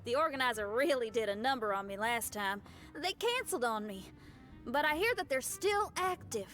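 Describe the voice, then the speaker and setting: A woman's voice: on the high side, animated, normal speed, fairly bright tone, soft background music. A woman voicing a brash, cheerful young female rock musician in a game, with folksy, slangy speech. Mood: frustrated. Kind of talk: game character voice acting.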